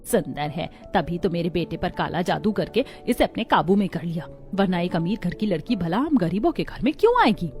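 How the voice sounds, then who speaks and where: A woman's voice: on the low side, lively intonation, normal speed, hardly any pauses, darkish tone, natural voice. A woman playing a bitter, suspicious mother-in-law who taunts in a cartoon melodrama. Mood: scornful, suspicious. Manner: sarcastic, complaining.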